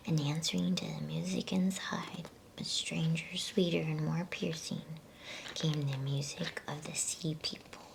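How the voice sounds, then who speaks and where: Female ASMR story reader: whispered, very slow delivery, gravelly creak, slight background noise. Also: sits low, steady tone. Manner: hushed, unhurried, breathy whisper.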